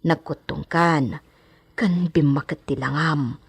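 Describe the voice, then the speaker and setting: A radio drama housemaid played by a woman, frank and fussy, with a deep female voice that is husky at the edges. She talks bluntly and gossips like a bossy big sister, recorded close and dry. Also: steady tone, slow, dry recording, exaggerated voice. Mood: concerned, scolding. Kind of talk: Ilocano radio drama acting.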